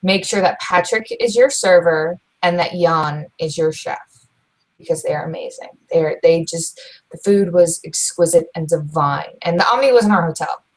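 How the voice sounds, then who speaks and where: A young woman co-hosting a podcast, talking loud and fast in casual chat, full of restarts. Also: on the low side, rough recording. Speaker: podcast co-host.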